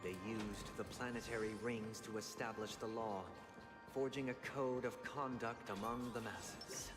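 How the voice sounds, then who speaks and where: An actor playing a solemn, theatrical male villain who delivers grand, formal monologues in a measured way. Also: on the low side, steady tone, natural voice. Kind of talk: dramatic scripted monologue. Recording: long hall reverb under loud music.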